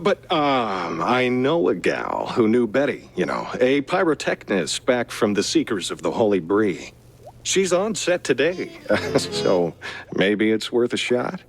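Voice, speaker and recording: Low husky male, nervous studio worker, halting stammer, swooping intonation, evasive, cartoon video game. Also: heavily acted.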